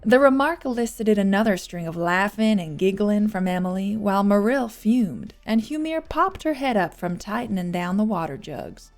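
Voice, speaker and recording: Woman reading a story audiobook, gravelly fry in her voice, soft music behind. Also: mid-range voice, animated, moderate pace, few pauses. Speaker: audiobook narrator. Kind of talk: scripted fiction narration.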